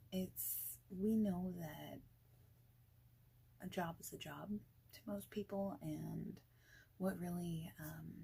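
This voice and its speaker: A woman playing a friendly, informal boss in an ASMR interview roleplay, speaking softly and haltingly with whispered phrases. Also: sits low, heavily acted, rough recording. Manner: halting, casual, soft-spoken, with breathy whispered phrases.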